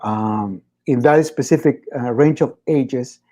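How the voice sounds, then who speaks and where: Mellow-voiced man walking through numbers. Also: medium pitch, slow pace. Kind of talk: casual chat presenting statistics.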